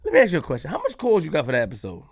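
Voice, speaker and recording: NY man, podcast co-host, quick and gravelly, loud street banter. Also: a little high, dry recording, studio quality. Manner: loud, animated, streetwise banter with frequent restarts. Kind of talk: casual chat.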